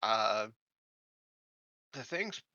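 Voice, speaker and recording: A man's voice: on the low side, very animated, drawn-out words, pushed and bright, dry recording, dull audio. A man chatting casually as a player in a tabletop roleplaying game.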